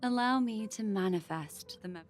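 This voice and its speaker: A woman voicing a serene, enigmatic, gently wistful character in a video game, speaking in a measured, poetic way. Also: medium pitch, soft background music, lightly acted.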